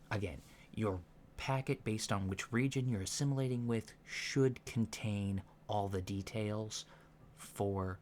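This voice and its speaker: A soft-spoken man giving gentle, measured instructions in an ASMR roleplay, pausing often mid-sentence. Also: lowish voice. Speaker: ASMR roleplay performer playing a training instructor. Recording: lo-fi with faint background noise.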